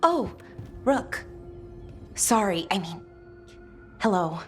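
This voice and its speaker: A woman voicing an anxious, earnest young elf adventurer in a video game, halting and quick to blame herself. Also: mid-range voice, big pitch swings. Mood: anxious, guilty. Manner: halting, hesitant, repeats herself. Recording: game music behind the voice.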